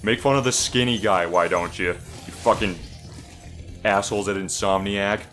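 A man's voice: fairly low pitch, normal speed. A man joking casually as co-host of a gameplay video. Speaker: let's play co-host. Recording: music under the voice. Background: game music.

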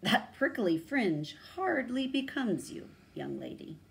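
A haughty old storybook lion, put on by a woman reading aloud. He speaks with rumbling, unhurried disdain and a calm sense of superiority. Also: full character voice.

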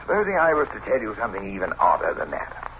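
An actor playing a confident, needling public prosecutor interrogating a suspect in a radio play. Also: male voice, fairly low pitch, wildly swooping pitch, fast talker, vocal fry, a bit bright, full character voice. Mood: pointed, deliberate. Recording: noisy, dull old radio recording.

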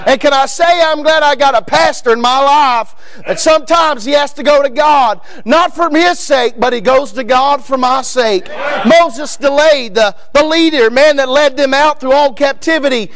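Kentucky preacher guy in his 30s, really high voice for a man, gets loud and shouty at the pulpit in a church. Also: normal speed. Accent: Northern Kentucky. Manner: builds to shouting, repeats lines, addresses men in the pews by name. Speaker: guest preacher. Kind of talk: fiery Baptist sermon. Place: church sanctuary. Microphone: pulpit microphone.

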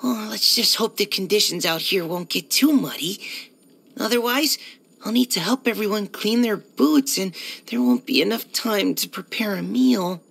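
A woman's voice: mid-range voice, normal speed, creaky, husky. A woman voicing a polite, earnest young male military surveyor in a video game, with a hesitant, helpful manner. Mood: observant. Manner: hesitant, soft-spoken politeness, eager to help. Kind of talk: video game character voice-over.

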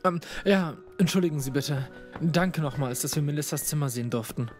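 A hesitant young student sleuth, stumbling over his words, with a somewhat high voice, as a man plays him. Faint music runs under this fan audio drama. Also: brisk, lightly acted. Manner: hesitant, many fillers.

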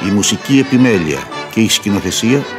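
Guy announcing radio play credits, lowish voice, formal, loud brass music behind. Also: lively intonation. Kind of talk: formal scripted credits reading.

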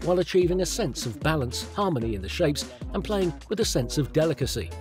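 A man reading an English voice-over translation in a measured, even way. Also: on the high side. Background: background music.

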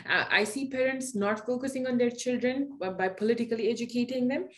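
A woman's voice: on the high side, fairly quick. A woman hosting a video series interview over a video call, stacking questions with fillers. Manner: asks several questions at once, hesitant fillers. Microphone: video call webcam.

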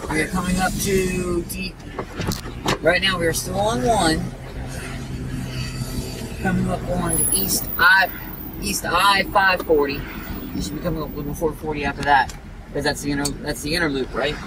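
A man on a road trip, casually talking through the highway route from a moving car. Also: steady tone, normal speed, long pauses. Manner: rambling, talks through directions aloud. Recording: noisy car interior.

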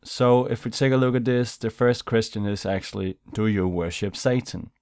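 Laid-back young Danish-speaking man, low voice. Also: moderate pace, darkish tone, clean tone, dry recording, clean studio sound. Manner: laid-back, chatty, says "man" and "you know". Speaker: YouTuber. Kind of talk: casual commentary over gameplay.

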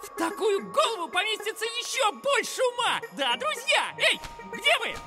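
A boastful, scatterbrained little cartoon bird. The voice is squeaky, extremely high and jumpy, bursting with eagerness over music. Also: female voice, normal speed. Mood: flustered. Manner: squeaky, excitable, repeats words. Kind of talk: animated series voice acting.

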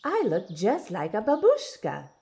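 A woman's voice: mid-range voice, huge pitch swings, measured pace, gravelly, dark tone, voice pushed up, exaggerated voice. A woman voicing a kindly, wistful old forest witch posing as a grandmother in a storybook reading. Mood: gleeful. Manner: sighing, gleeful, tender. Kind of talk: storybook read-aloud.

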